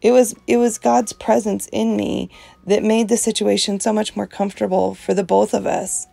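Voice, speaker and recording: A woman with a heavily gravelly, fry-laden voice talks in an earnest, emphatic, chatty way as she hosts an art journaling session. Her voice is strong and opinionated. The sound is dry, with almost no room around it. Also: mid-range voice, level tone, moderate pace, warm tone, no room sound. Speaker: host of an art journaling channel. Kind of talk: casual devotional chat while art journaling.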